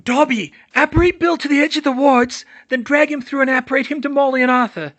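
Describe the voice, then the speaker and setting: A man's voice: very high-pitched, steady tone, a little fast, fairly bright tone, pitched above the performer's own voice. A man voicing a brave, earnest young witch in a strained, battle-worn way for a storybook reading. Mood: urgent. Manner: strained, wheezing, commanding.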